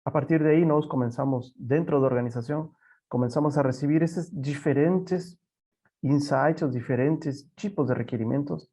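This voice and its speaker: A man from Peru speaking Portuguese with a Peruvian Spanish accent, giving a halting conference presentation over a video call, often repeating words and saying "eh". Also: on the high side, mellow, breathy edge. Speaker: panelist, software company representative. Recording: dull lo-fi call audio.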